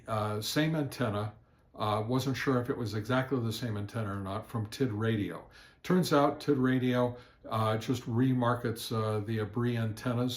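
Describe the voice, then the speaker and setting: A man narrates a casual product test as host, in a halting, plain-spoken, step-by-step way. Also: mid-range voice. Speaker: host reviewing radio gear.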